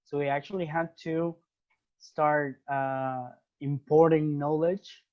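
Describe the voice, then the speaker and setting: A man's voice: fairly high pitch, fairly even intonation, takes it slowly, muffled recording. A young man from Venezuela with a Venezuelan Spanish accent, a guest in a casual interview, who speaks English as a second language and often restarts phrases while searching for words.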